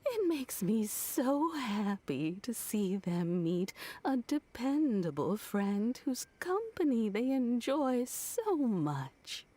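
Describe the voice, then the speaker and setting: An actress playing a kindly, gracious older woman in video game dialogue, speaking with gentle politeness. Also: fairly high pitch, sing-song, slow pace, hardly any pauses, exaggerated voice. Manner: gentle, warm, polite hospitality. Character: kindly old gray-haired woman, gracious elder. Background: faint game music.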